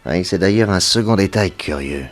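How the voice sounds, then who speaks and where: An actor playing a composed, authoritative detective in a French radio serial, speaking in a brisk, assured way. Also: male voice, deep voice, expressive, faint music behind. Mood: methodical, deductive. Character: famous detective, male, composed, authoritative, methodical.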